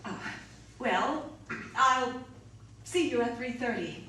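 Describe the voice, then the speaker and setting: A woman's voice: a little high, expressive, takes it slowly, pushed and bright, heavily acted. A woman playing an earnest, reserved robot scientist in radio theatre style. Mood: brisk, dry. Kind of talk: theatrical radio play acting. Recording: echoey room, distant mic, faint noise.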